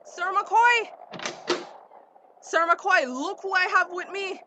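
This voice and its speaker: A woman's voice: very high-pitched, slow pace, background noise. An actress playing an urgent, caring, protective woman in a screen drama. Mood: excited urgency. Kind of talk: acted screen drama dialogue.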